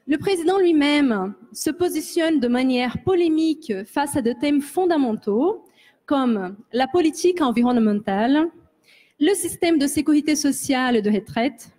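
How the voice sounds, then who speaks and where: A woman whose first language is Portuguese moderates a panel debate. Her voice sits high, and she introduces the topic and her guests in a measured, formal way. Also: lively intonation, mellow.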